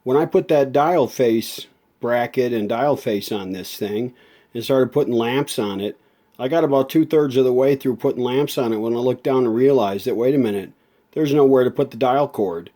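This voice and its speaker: A man giving a casual, hands-on workshop explanation as a hobbyist restorer, haltingly thinking aloud as he works. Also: medium pitch, dark tone. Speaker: hobbyist radio restorer and video maker. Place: indoor home workshop at a workbench.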